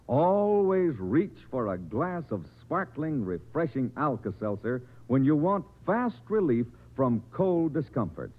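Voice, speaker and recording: A man's voice: on the high side, very animated, measured pace, hardly any pauses. A man reading a commercial as a 1950s radio announcer, emphatic and polished, on an old tinny broadcast. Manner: emphatic, polished sales delivery.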